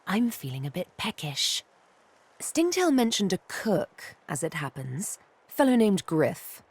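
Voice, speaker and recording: A woman's voice: on the low side, sing-song, unhurried, husky, dry recording, exaggerated voice. A woman voicing a sardonic, vengeful elf huntress for a video game, with arch, teasing, theatrical phrasing. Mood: sly, predatory. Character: sardonic, vengeful female elf, former slave turned huntress. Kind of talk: video game character dialogue. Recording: background music under the voice.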